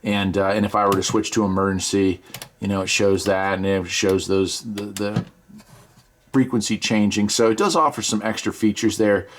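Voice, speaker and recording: Low-voiced American man, casual reviewer. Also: steady tone, moderate pace, slight background noise. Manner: conversational, enthusiastic, folksy asides. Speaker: product reviewer and video host. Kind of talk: casual hands-on gadget review.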